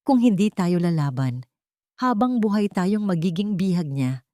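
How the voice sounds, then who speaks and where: A woman's voice: on the low side, lively intonation, unhurried, dark tone, dry recording, clean studio sound. A woman with a Filipino accent voicing a determined, pleading woman seeking justice, earnest and insistent, in a story. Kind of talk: dramatised storytelling.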